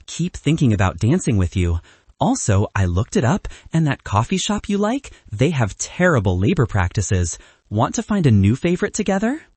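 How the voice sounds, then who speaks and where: Man voicing a wry, husky cynical best man. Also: medium pitch, wildly swooping pitch, moderate pace, a little mellow, dry recording. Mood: eager, flirty.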